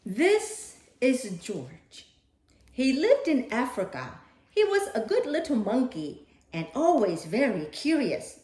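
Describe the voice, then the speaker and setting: A woman's voice: a little high, huge pitch swings, takes it slowly, dark tone, echoey room. A woman reading a children's picture book aloud expressively, with dramatic delivery and playful asides to a young listener.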